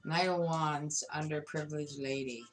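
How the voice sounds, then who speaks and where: A woman's voice: deep voice, steady tone, normal speed, faint music behind, poor audio. A woman giving a tarot card reading, talking straight to the viewer with emphatic, repetitive phrasing. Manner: emphatic, repeats words, addresses viewer directly. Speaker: tarot reader.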